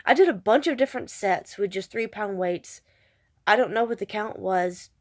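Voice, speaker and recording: A woman's voice: medium pitch, moderate pace. A woman with a stuffed-up, congested voice, chatting casually in a vlog and rambling with self-deprecating restarts. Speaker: vlogger.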